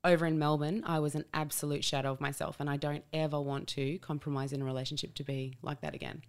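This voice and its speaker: A woman's voice: sits low, little pitch movement, quick pace, clean tone. A woman in her thirties, co-hosting a podcast and speaking emphatically and forthrightly about her own life, swearing for emphasis. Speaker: podcast co-host. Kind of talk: candid conversational podcast chat.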